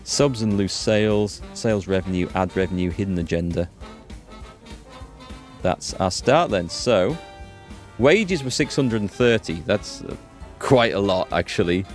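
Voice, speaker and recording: A man's voice: fairly low pitch, expressive, measured pace. A man giving casual let's play commentary as host, explaining things and thinking aloud as he goes. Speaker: gaming video host. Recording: music behind the voice. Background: music.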